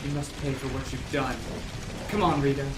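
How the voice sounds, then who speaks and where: A man's voice: mid-range voice, steady tone, normal speed, close to an everyday voice. An actor playing an earnest, emotional young son standing against his villainous father in a noir audio drama. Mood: defiant. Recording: distant, echoey, noisy track with rain.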